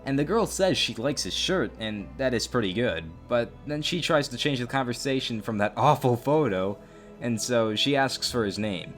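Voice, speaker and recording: Animated man narrating over music. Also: medium pitch, normal speed. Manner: exclamatory, openly disgusted asides. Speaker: YouTube host narrating a story. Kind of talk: commentary, reading out chat messages. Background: background music.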